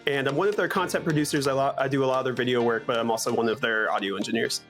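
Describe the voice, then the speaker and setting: A man's voice: medium pitch, fairly even intonation, rapid-fire, fairly bright tone. A man giving a casual interview testimonial as an audio engineer. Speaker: church audio engineer and content producer. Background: background music.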